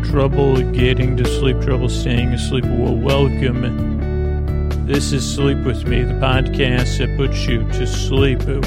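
A man with a creaky voice hosting a sleep podcast, lulling and soothing as he meanders haltingly through a rambling bedtime monologue. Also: medium pitch. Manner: meandering, halting, repeats and drifts off topic. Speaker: podcast host.

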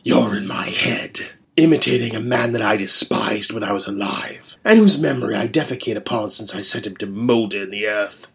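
A man's voice: on the low side, moderate pace, creaky, hardly any pauses, a bit bright, husky, exaggerated voice. A man voicing a grim, defiant warrior who speaks with cold contempt. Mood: contemptuous.

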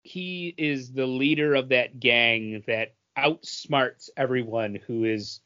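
A man co-hosting a casual geek podcast, wandering through his thoughts with plenty of ums and restarts. His voice sits in an ordinary middle pitch, and the recording sounds dull and lo-fi. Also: slow pace, sharp tone. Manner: rambling, many fillers and restarts. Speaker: podcast co-host. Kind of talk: casual chat.

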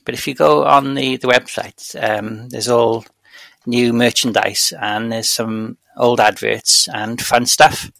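A Welsh man in easy podcast banter, his voice bright and edged with a tense brightness. He pokes fun at himself and laughs often. The sound is dry and close, with no room around it. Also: medium pitch, flat delivery, slow pace, creaky, no room sound. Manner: chatty, self-deprecating, laughs often. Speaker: podcast co-host. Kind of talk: casual chat.

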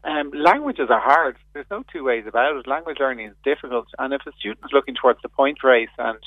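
A man's voice: mid-range voice, animated, fairly quick, no echo. An Irish man speaking as a radio guest by phone, earnest and explanatory, with many fillers and restarts. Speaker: radio guest, education advocate. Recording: tinny phone line.

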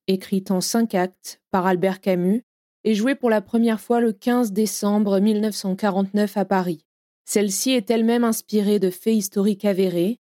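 A woman reading a formal, measured scripted introduction to an audio drama as its narrator. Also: medium pitch, mellow, no room sound, studio quality. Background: music.

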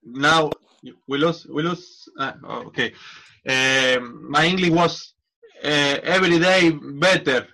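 A man, a football coach, talking in a casual interview in halting non-native English with many restarts. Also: fairly high pitch, creaky, bright, forceful tone, poor audio.

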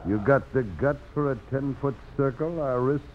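An actor playing a deadly, threatening gambling boss and knife fighter in a 1950s radio drama, with a sneering manner. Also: male voice, mid-range voice, moderate pace, a crowd in the background, lightly acted. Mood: challenging. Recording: dull old radio broadcast.

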